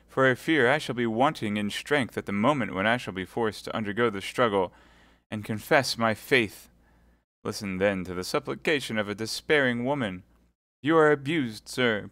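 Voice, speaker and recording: A man's voice: medium pitch, lively intonation, a little slow, fairly bright tone, no room sound, clean studio sound, pitched above the performer's own voice. A man reading aloud voices a scheming captive noblewoman who pleads and prays in a tearful, quavering tone. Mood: pleading.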